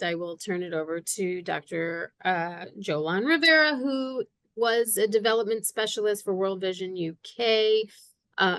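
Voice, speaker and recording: A woman's voice: mid-range voice, no room sound. Woman moderator, dry close sound. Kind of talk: introducing a panelist.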